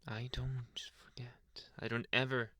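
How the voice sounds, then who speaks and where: A man voicing a young alpha werewolf, tender yet menacing and possessive, in an intimate ASMR roleplay that drifts between halting murmurs and whispers. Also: medium pitch, little pitch movement, pushed and bright, no echo, studio quality. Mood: coaxing, possessive. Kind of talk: ASMR roleplay addressed to the listener.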